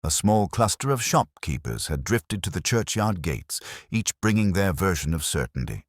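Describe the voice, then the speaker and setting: A man narrating a literary mystery in a measured, dramatic storytelling manner. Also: low voice, very animated, no echo, clean studio sound.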